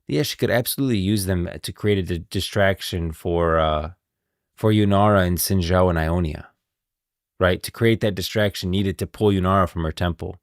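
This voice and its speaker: A male streamer with a very low, creaky voice talks casually about game lore. He muses and thinks aloud as he goes. The recording is close and dry. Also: normal speed, dry recording. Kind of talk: casual live stream commentary.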